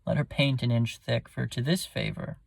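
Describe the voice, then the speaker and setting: A man's voice: mid-range voice, lively intonation, normal speed, gravelly, no room sound. A man playing a melancholy, bitterly wry prince, musing theatrically in a Shakespearean stage speech. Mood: bitter mockery.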